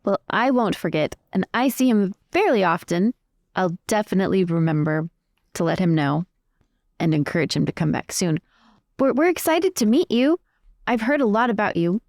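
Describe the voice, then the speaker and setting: A woman's voice: mid-range voice, lively intonation, moderate pace, a little mellow, no room sound, studio quality. A woman improvising in-character conversation as a tabletop game player, polite and a little hesitant. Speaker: player in a tabletop role-playing podcast.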